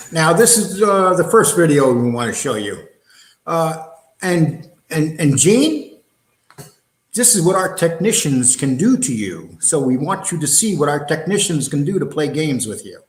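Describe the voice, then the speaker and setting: A man's voice: fairly high pitch, sing-song, a little slow. A man hosting a casual livestream, talking in a blunt, combative, streetwise way. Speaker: show host. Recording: roomy, echoey sound.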